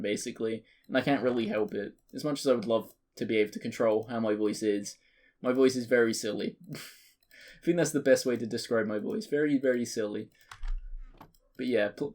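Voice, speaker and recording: A man in his twenties giving rambling, joking commentary over a video game, his voice cracking and jumping between high and deep. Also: a little mellow. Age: 20s. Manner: rambling, joking, self-mocking. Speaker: let's play creator. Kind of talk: casual gameplay commentary.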